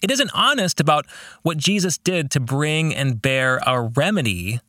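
A man's voice: on the high side, lively intonation, moderate pace, pushed and bright, dry recording, studio quality. A man hosting a podcast, giving reflective religious commentary on his book in an earnest, preacherly way with emphatic phrasing. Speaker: podcast host and author discussing his own novel.

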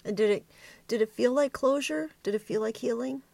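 A woman's voice: a little high, fairly quick, vocal fry, a little mellow, dry recording. A woman hosting a reflective interview, thinking aloud in a tentative, searching way. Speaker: podcast host, interviewer.

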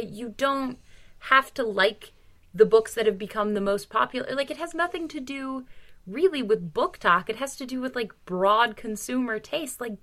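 A woman's voice: fairly high pitch, a bit bright. A woman co-hosting a podcast, discussing books casually and with opinions, talking in an emphatic, exasperated way and swearing freely. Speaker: podcast co-host.

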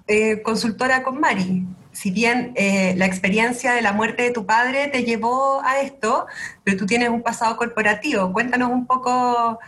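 A woman with a Chilean accent hosting a podcast interview, speaking in a measured, welcoming way. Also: fairly high pitch, a bit bright. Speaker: podcast host.

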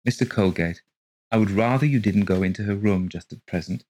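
A man's voice: fairly low pitch, a little fast, darkish tone, natural voice. A man reading an audiobook, voicing an exhausted mother who speaks firmly and keeps her voice level. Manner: firm, trying to keep level. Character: exhausted, anxious young mother. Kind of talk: audiobook character voice.

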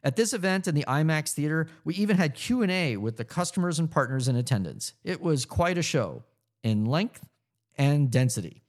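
Lively-voiced man reading his memoir. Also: a little high. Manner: measured reading with occasional stumbles and restarts. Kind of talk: audiobook narration.

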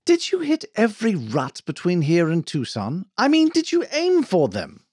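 Western audiobook employer, male reader: pompous, fussy gentleman, wordy and formal, expressive pitch, close dry sound. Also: moderate pace, no room sound. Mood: indignant.

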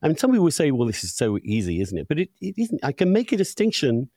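Guy hosting a podcast, super animated intonation, opinionated, close dry sound. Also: fairly high pitch, fast, dry recording. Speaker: podcast host. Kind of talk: conversational interview.

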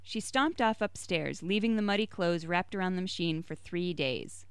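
A woman reads a short story aloud in a fairly low, smooth voice. She is recorded close and dry, with no room sound around her, and she lifts her voice a little higher and brighter when a character speaks. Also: moderate pace, no room sound. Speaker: story reader.

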